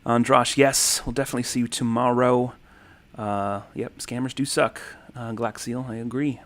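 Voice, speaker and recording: A man's voice: medium pitch, fairly even intonation, moderate pace, fairly bright tone. Male, thirties, easygoing stream host, rambling chat, mid-range voice. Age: 30s. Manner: rambling, friendly, many ums and thank-yous. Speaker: livestream host. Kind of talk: casual livestream chat reading comments.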